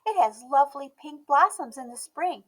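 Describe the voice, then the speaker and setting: A woman's voice: high-pitched, lively intonation, normal speed, voice pushed up. A woman voicing a polite but discouraging lady shopkeeper in a storybook, prim and sweetly apologetic. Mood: polite, dismissive.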